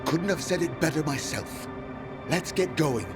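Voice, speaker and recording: A man's voice: fairly high pitch, expressive, a little slow, music in the background. An actor playing a folksy, confident male faction leader in a video game, easygoing and philosophical. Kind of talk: video game cutscene acting.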